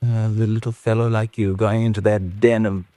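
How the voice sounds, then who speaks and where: A man's voice: on the low side, flat delivery, normal speed, dark tone, no echo, lightly acted. A man playing a wry, teasing upper-class colleague in a radio drama, with a British accent and clipped, bantering delivery. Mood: wry, sceptical.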